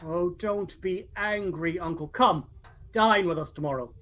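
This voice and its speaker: An actor playing a cheerful, warm-hearted nephew in a radio play, speaking in an earnest, declamatory way. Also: male voice, high-pitched, a little slow. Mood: pleading, cordial.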